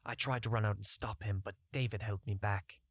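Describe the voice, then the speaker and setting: Male story narrator, lower register, dry recording, reading first-person fantasy fiction. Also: steady tone, brisk, dry recording. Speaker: audiobook narrator.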